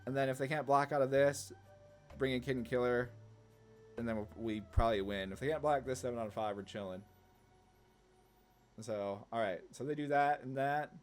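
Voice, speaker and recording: A man's voice: medium pitch, moderate pace, pauses often, soft background music. A man giving casual, slangy card game commentary, thinking aloud hesitantly as he plays. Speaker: gaming channel host.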